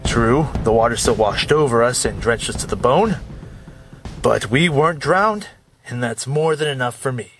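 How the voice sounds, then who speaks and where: A man's voice: medium pitch, natural voice. A man voicing a dry-witted wolf drifter warrior who also narrates the story in first person. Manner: wry, matter-of-fact. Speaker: narrator and lead character. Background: fantasy score.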